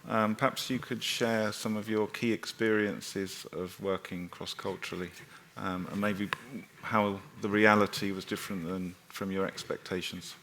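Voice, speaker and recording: A man's voice: on the low side, fairly even intonation, normal speed, hardly any pauses, fairly bright tone, a little hiss or hum. Polite, hesitant male panel moderator. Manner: hesitant, many fillers, polite and inviting. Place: conference stage in a hall.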